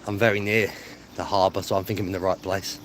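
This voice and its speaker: A man's voice: deep voice, flat delivery, fast, fairly bright tone. Chatty man, noisy street. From England. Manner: chatty, rambling, matey, self-deprecating. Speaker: livestreaming travel vlogger. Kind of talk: casual live-stream chat. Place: outdoors on streets, walking. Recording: clear outdoor street noise, traffic.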